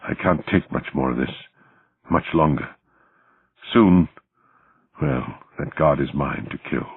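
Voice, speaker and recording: Guy doing cocky burly navy man, oxford accent, crisp scornful, audiobook, phone-like sound. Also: deep voice, sing-song, moderate pace, full character voice. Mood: seething. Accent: Oxford English. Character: burly, arrogant Israeli ex-navy officer man. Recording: muffled, phone-like, roomy audio.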